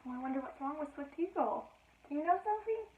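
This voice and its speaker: A woman reading a children's story aloud in an expressive teacherly style, with playful asides to her listeners. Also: high voice, a little fast. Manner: addresses listeners with playful questions, dramatic emphasis. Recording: roomy, echoey, narrowband sound.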